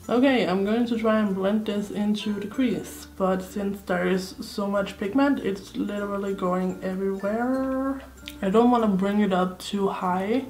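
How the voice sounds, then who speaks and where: A young woman with a slightly hoarse voice, chatting casually through a makeup tutorial at home. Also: medium pitch, a little mellow, poor audio. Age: young adult. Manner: chatty, thinking aloud while applying makeup. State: slightly hoarse, sore throat. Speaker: beauty vlogger. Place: indoor room at home. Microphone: close camera facing her.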